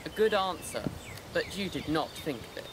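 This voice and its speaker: A man's voice: on the high side, expressive, normal speed, natural voice. A man playing a proud, inquisitive king in a fairy tale, speaking in formal, courteous phrases. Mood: impressed, gracious. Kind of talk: fairy tale character acting. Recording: amateur recording with clear background noise.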